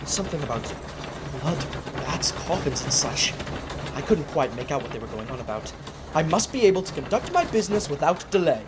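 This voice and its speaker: A man's voice: on the high side, sing-song, fairly quick, noisy background. A man playing a fussy, polite lawyer's clerk in a radio drama, formal and eager to please. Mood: fussy, impatient.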